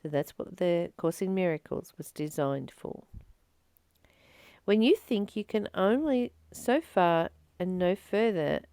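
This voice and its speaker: A woman reading aloud and reflecting on spiritual teachings in a deliberate, emphatic way, with pauses between phrases. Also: lowish voice, expressive, warm tone, smooth, no room sound, clean studio sound. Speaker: host of a spiritual reading channel.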